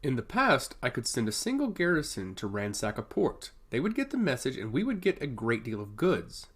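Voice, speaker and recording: A man's voice: medium pitch, huge pitch swings, moderate pace, a bit bright, slightly rough, exaggerated voice. A man voicing a coldly pragmatic, dutiful commander in a fantasy audiobook, solemn, formal and deferential to his lord. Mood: measured frustration.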